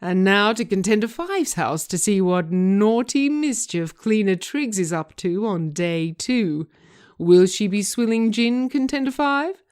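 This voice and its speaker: A woman's voice: mid-range voice, lively intonation, unhurried, darkish tone, voice pushed up, natural voice. A woman voicing a showy, gleefully goading male reality TV host with announcer-like suspense in a scripted reading. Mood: mock suspense.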